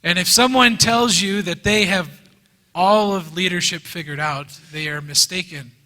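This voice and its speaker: A man teaching a Bible class in English, speaking earnestly in short phrases and pausing so an interpreter can translate. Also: high voice, bright, forceful tone. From United States. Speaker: guest teacher. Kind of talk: lecture given through an interpreter. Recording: reverberant hall.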